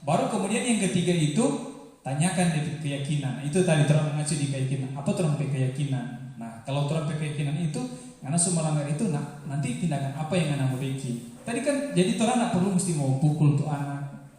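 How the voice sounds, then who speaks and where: A man speaks to a workshop, stopping often with "eh" as he explains. His voice is a little high but mellow. It echoes through a large hall with faint music behind it. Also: expressive. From North Sulawesi, Indonesia. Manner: hesitant, many "eh" pauses, explains loosely. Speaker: teacher presenter. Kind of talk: informal workshop talk.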